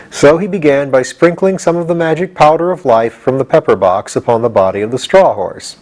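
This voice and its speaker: A man's voice: mid-range voice, a little mellow, poor audio. A man narrating a children's storybook as a scripted audiobook reading. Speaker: audiobook reader.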